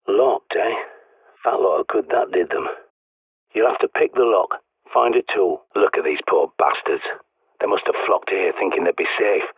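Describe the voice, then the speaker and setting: A man's voice: sits low, breathy edge, no echo. A middle-aged man voicing a cynical British survivalist who guides the player by radio, blunt and sardonic, swearing often, in a video game. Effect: radio transmission. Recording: tinny radio line.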